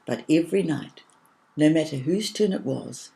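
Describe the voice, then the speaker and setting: Low, mellow, creaky woman reading a story. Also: expressive, normal speed. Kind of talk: scripted children's story narration.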